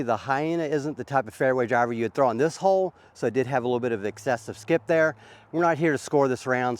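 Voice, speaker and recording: A man with a sore throat from a cold, chatting casually as host of a disc golf vlog on an outdoor course. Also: medium pitch. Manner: easygoing, chatty, frequent uhs.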